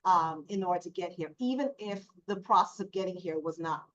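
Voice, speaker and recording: A woman from Jamaica speaking as a panelist, reflective and measured, pausing and restarting. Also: fairly low pitch, a bit bright. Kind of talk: casual panel discussion. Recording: dull lo-fi audio.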